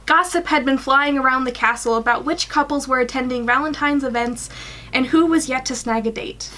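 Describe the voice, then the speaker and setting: A woman's voice: high-pitched, fairly quick, bright, forceful tone. A woman in her twenties reading a fan story aloud and chatting informally in a small room at home. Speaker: guest reader on a fan fiction livestream.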